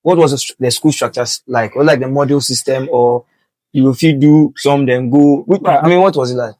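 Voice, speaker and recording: Ghanaian podcast host, chatty. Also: male voice, medium pitch, fairly quick, darkish tone, no room sound. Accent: Ghanaian English. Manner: chatty, interjecting, pidgin-flavoured asides. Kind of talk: casual podcast conversation.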